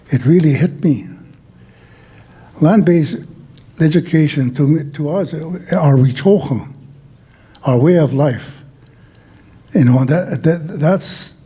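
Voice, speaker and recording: An older man whose first language is Dakota, from a Dakota nation in Saskatchewan, speaking as an elder on a conference panel in a halting way with many restarts and throat clearings. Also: fairly high pitch, warm tone. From Wahpeton Dakota Nation, Saskatchewan, Canada.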